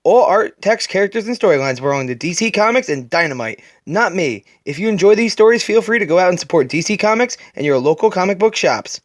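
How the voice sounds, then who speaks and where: High-pitched man narrating a comic book. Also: expressive, a little fast, few pauses. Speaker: host of a comic reading series. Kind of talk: scripted narration.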